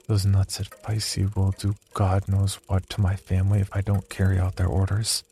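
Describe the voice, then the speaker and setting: A man acting a deep, gravelly, haunted diarist. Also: warm tone. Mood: bitter dread. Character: haunted, unravelling man confessing in a dark diary. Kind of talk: horror audio drama monologue, first-person diary narration.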